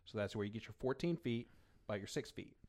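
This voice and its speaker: A man's voice: on the low side, lively intonation, a little fast, darkish tone. A man from Louisiana with a Southern American accent, chatting casually as a podcast host. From Louisiana, United States. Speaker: podcast host, gym owner. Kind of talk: casual chat.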